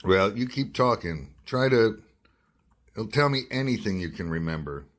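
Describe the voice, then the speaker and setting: An actor playing a dogged newspaper reporter in a radio detective drama, probing and wry in a hard-boiled way. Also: male voice, on the low side, lively intonation, a little slow, fairly bright tone. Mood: pressing. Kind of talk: radio detective drama acting and first-person narration.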